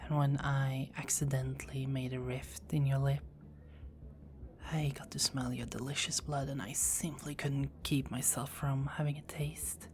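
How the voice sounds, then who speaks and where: A woman's voice: very deep voice, flat delivery, measured pace, darkish tone, slight background noise. A woman voicing a seductive, dominant female vampire in an ASMR roleplay, speaking in teasing, close whispers.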